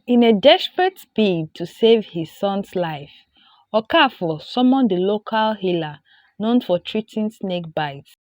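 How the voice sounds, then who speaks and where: Nigerian female storyteller, unhurried scripted folktale narration, close dry sound. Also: mid-range voice, big pitch swings, no room sound.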